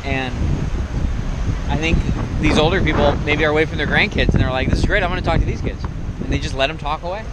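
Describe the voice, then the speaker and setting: A man's voice: a little high, quick pace, heavy background noise. A man in his thirties chatting casually and joking as a travel vlogger outdoors at the beach and on a boat, recorded on a handheld camera mic. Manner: joking, easygoing. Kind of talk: casual vlog chat.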